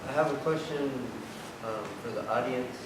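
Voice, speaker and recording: Man, medium pitch, halting delivery, audience discussion, distant noisy recording. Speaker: theater project member. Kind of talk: question to an audience. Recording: echoey hall, distant mic, noisy.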